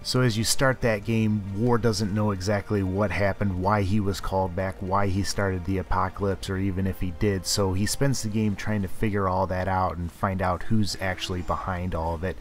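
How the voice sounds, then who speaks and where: A man hosting a gaming channel, talking casually over gameplay in a relaxed, chatty way with lots of "kind of" fillers. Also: low voice, normal speed, few pauses, faint music behind. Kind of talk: casual let's play commentary.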